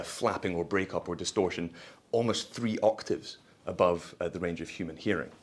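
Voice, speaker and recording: A man with a lowish voice speaks as a company presenter at a product launch. His delivery is polished and florid, the language of a sales pitch, broken by hesitant asides.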